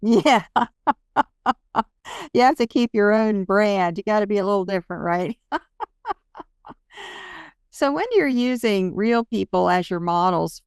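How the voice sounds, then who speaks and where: A woman's voice: mid-range voice, smooth, no echo, clean studio sound. A woman hosting a casual interview, engaged and conversational in how she talks with her guest. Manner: engaged, laughs readily. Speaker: interview host.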